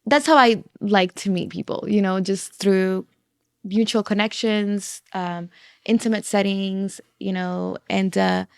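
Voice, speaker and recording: A woman's voice: medium pitch, a little slow, vocal fry, clean tone, no room sound, studio quality. A woman from the Dominican Republic, a podcast guest chatting casually about her life and how she met her husband. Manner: relaxed, chatty, with fillers and laughs. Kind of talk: casual conversation.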